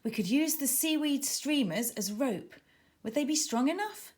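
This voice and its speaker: A woman's voice: high voice, lively intonation, moderate pace, darkish tone, voice pushed up. A woman voicing an eager, anxious young girl diver in a children's storybook, speaking urgently and exclaiming. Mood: excited.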